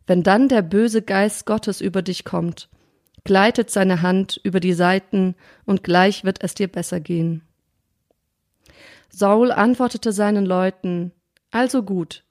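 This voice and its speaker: A woman hosting a podcast on sermon ideas, chatting in a friendly way and reading a scripture passage aloud in a measured voice. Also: medium pitch, darkish tone, no echo, studio quality. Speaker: podcast host. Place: studio or quiet room, sitting across from the guest.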